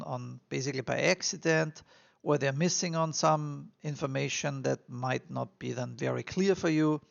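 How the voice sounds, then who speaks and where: Gravelly Austrian man giving earnest advice. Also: a little high. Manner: earnest and encouraging, repeats himself, frequent fillers. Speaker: immigration vlogger. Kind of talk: advice monologue to camera. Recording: dull lo-fi recording.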